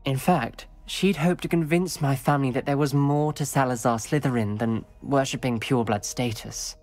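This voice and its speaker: A man's voice: medium pitch, fairly even intonation, moderate pace, darkish tone, soft background music, close to an everyday voice. A man playing a troubled, principled teenage schoolboy wizard in a video game, speaking with a British accent in a formal, earnest way. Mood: grave, wary. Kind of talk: dramatic video game voice acting.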